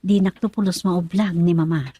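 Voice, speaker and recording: A woman playing a playful, tender young country woman in love in an Ilocano radio drama, expressive and teasing with an Ilocano accent. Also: lowish voice, normal speed, husky, no echo.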